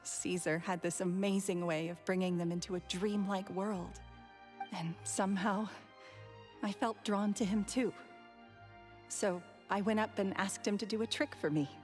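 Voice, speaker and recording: A woman playing a gentle, sentimental woman who reminisces tenderly in a video game. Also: medium pitch, steady tone, unhurried, lightly acted. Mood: wistful. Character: gentle, wistful, sentimental woman recalling her old love. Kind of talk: video game cutscene dialogue. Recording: faint music behind.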